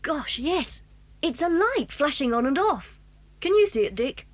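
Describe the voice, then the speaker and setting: A woman's voice: high voice, sing-song, unhurried, no room sound. A British woman playing a keen, bold schoolboy in a children's audio drama. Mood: excited, curious.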